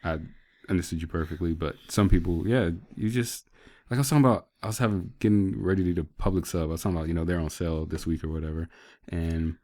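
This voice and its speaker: A man's voice: low voice, fast talker, warm tone. A man co-hosting a podcast in easygoing casual chat, often agreeing and chiming in. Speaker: podcast co-host.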